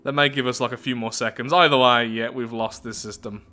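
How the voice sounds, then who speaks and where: A man hosting a video game playthrough, talking through it in an animated, chatty way and thinking aloud. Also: medium pitch, a little fast. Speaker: YouTube gaming host. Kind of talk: game playthrough commentary, reading event text aloud.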